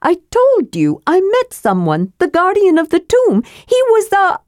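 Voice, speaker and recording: A woman voicing a small, cheeky male kender who fibs excitedly, chirpy and bouncy. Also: very high voice, measured pace, no room sound, studio quality, pitched above the performer's own voice, full character voice. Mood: eager.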